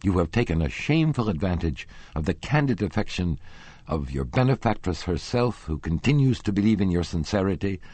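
A man's voice: low-pitched, sing-song, fairly quick, darkish tone, breathy edge, no echo, heavily acted. A man reading the part of a jealous young nobleman, voice rising and accusing in heated outbursts. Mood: bitter. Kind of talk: audiobook character reading.

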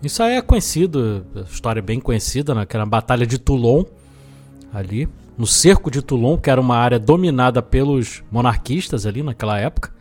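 A man's voice: medium pitch, lively intonation, a little fast, a little mellow. A man with a Brazilian accent hosting a film podcast, talking casually in an opinionated, explanatory and colloquial way. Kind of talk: casual film review discussion. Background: background music.

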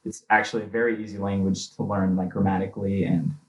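A young man in his twenties talking casually as an interview guest, chatty and quick to laugh. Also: low voice, little pitch movement, muffled recording. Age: 20s. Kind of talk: casual conversation.

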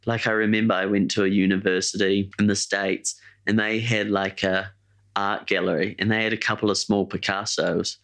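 A young man with a New Zealand accent talks in a fairly low, somewhat monotone voice. His delivery is laid-back and rambling, with plenty of "like" and "eh" as he chats on a podcast. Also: normal speed. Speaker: podcast co-host. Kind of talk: casual chat.

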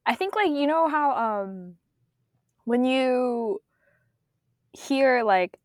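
A woman's voice: high-pitched, expressive, extremely slow, dry recording, studio quality. A young woman from Korea co-hosting a podcast in casual chat, talking with many fillers and frequent laughs. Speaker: podcast co-host.

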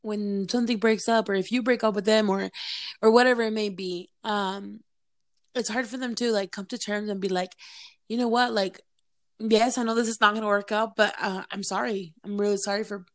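A woman's voice: medium pitch, fairly even intonation, brisk. A woman born outside the US, co-hosting a podcast in casual chat, blunt and swearing freely. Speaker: podcast co-host.

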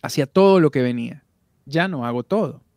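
A man's voice: mid-range voice, lively intonation, fairly quick, a little mellow, dry recording, clean studio sound. A man, a voice actor guest on a podcast, talking candidly over a video call with frequent restarts. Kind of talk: casual podcast interview.

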